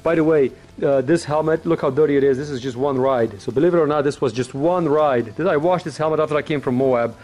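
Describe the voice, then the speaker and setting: Higher-pitched man, casual video review. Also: quick pace, hardly any pauses, music in the background. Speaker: motorcycle vlogger and product reviewer. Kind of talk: casual, unscripted product review to camera.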